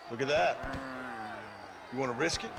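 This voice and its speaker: A man's voice: medium pitch, moderate pace, vocal fry, noisy background, natural voice. Tough, threatening American gunslinger acted by a man. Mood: menacing. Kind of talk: dramatic video game acting.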